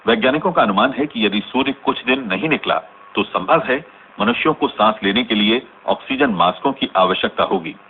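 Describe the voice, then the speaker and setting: Radio news announcer, lowish pitch, formal, measured, grave, tinny noisy broadcast sound. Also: male voice. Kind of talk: scripted news bulletin in radio drama. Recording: tinny, band-limited, noisy radio recording.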